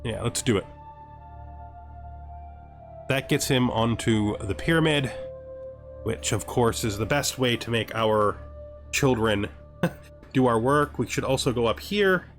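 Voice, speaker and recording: A man hosts a let's play in a casual commentary style. His voice sits at a middling pitch, and he talks as if thinking aloud to himself. Game music plays behind his voice. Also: expressive, unhurried, long pauses.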